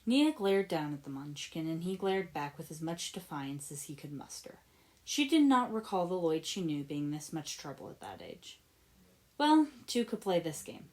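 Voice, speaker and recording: A woman reading fan fiction aloud as an expressive story narrator. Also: low-pitched, moderate pace. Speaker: podfic reader.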